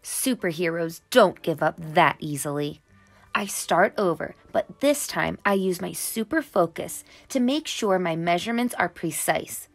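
A young adult woman narrating a picture book for children in an animated, expressive and carefully enunciated way. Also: on the low side, unhurried, vocal fry, faint music behind. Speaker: children's read-aloud storyteller.

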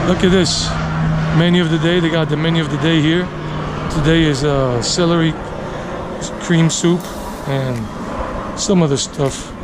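A man's voice: fairly high pitch, very noisy. A man of forty-nine chatting casually and thinking aloud as he hosts a walking vlog outdoors on a city street. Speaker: travel vlogger and walking tour host. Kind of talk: casual walking commentary to viewers. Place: outdoors, walking a leafy urban residential street.

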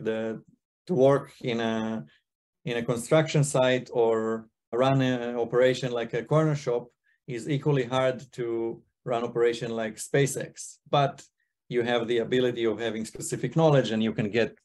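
A man's voice: mid-range voice, steady tone, normal speed, darkish tone. A man in his thirties from Israel and China, presenting to a video call in a loose, restarting way. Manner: casual, thinking aloud with frequent restarts. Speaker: guest speaker, investor. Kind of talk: webinar presentation. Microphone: computer mic on video call.

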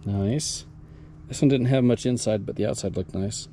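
A man with a mellow, relaxed voice talks viewers through his rock finds, eager and chatty. He is outdoors on an action camera mic, with faint clicks and rustle around him. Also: medium pitch, normal speed, slight background noise. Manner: enthusiastic, talks to viewers while handling rocks. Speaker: rockhounding vlogger. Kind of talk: casual show-and-tell narration. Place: outdoors at a mine site and a backyard.

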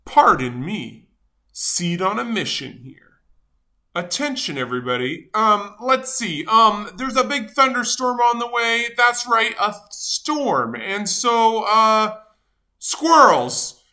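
A woman's voice: mid-range voice, expressive, slow pace, bright, forceful tone, pitched above the performer's own voice. A woman voicing a grumpy, dramatic but good-hearted male sunflower seed in a children's storybook, with theatrical, self-important delivery. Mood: mock alarm. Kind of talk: animated children's storybook read-aloud.

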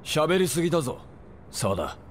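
A man's voice: on the high side, big pitch swings, moderate pace, slight background noise. A man voicing a cold-blooded gangster in a dramatic game cutscene, threatening and domineering. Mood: cold threat.

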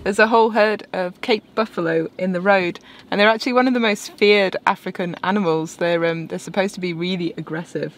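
A woman's voice: fairly low pitch, brisk, hardly any pauses, a little hiss or hum. A woman from England in her thirties giving casual vlog commentary with lightly wry narration. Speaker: sailing vlogger.

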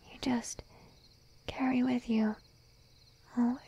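A woman voicing a shy, needy young sheep-girl girlfriend in an intimate ASMR roleplay, soft, hesitant and drowsy, mostly whispering. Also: on the high side, little pitch movement, slightly rough, highs cut off, exaggerated voice. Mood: wistful. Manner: timid, hesitant, drowsy, slow and cooing.

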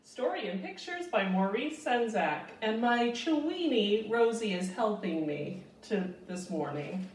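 Slow, lively woman reading a storybook. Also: mid-range voice, slight background noise. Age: 50s. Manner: deliberate, expressive storytelling delivery. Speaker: elementary school staffer reading aloud to children. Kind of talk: read-aloud of a children's picture book. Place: small living room at home, indoors. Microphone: phone camera a few feet away. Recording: roomy, distant phone-video sound.

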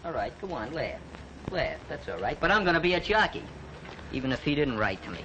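An actor playing a scrappy, defiant young New York street kid with big dreams, talking tough and slangy in an old film. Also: male voice, on the high side, very animated, brisk, bright, forceful tone, slight background noise, rough recording.

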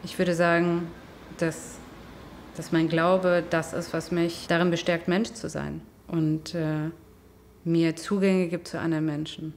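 A young woman from Germany speaking calmly and reflectively in a documentary interview. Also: fairly low pitch, a little slow, slight background noise. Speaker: interviewee, rabbinical student. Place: indoor room with plants. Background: soft music.

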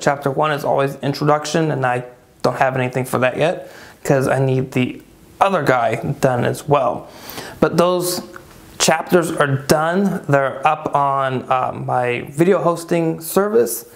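A man's voice: mid-range voice, gravelly. A man in his thirties hosting a casual woodworking shop update, upbeat and chatty, in a furnished room. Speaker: woodworking channel host.

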